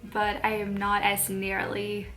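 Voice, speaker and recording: A woman's voice: mid-range voice, monotone, slow, fairly bright tone, faint music behind, rough recording. Nervous, giggly Swedish young woman. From Sweden. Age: 20s. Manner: nervous, giggly, many fillers and restarts. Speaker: vlogger. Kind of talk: casual talk to camera. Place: home, indoors.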